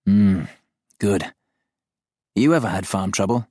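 A man voicing a gruff, irritable countryman who talks in blunt, colloquial phrases. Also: fairly low pitch, slow, mellow, no room sound, studio quality. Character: gruff, irritable countryman, hard-drinking and foul-mouthed.

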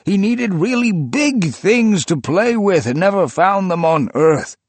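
A man doing a wild-eyed old rich man, voice pushed up high and loud, fierce and rapturous, drawing out the big words slowly and swinging his pitch all over the place. it sounds close and dry, no room at all. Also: expressive, no room sound. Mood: fervent. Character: feverish, imperious old male book collector.

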